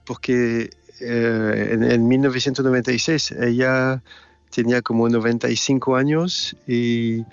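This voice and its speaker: A middle-aged Swiss man with a French accent, answering interview questions haltingly and repeating words as he searches for them. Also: mid-range voice, no echo, lo-fi sound. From Switzerland. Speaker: film director, interview guest.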